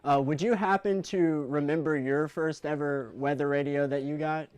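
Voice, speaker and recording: A man's voice: on the high side, steady tone, normal speed, a little mellow, smooth. A man working as a digital news host, asking questions in a casual interview.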